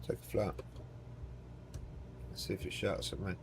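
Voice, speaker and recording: A man's voice: sits low, unhurried, creaky. A man streaming a flight simulator game, thinking aloud to himself and his chat, joking and swearing as he goes. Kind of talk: casual live commentary while playing a flight simulator. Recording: loud engine noise from the game.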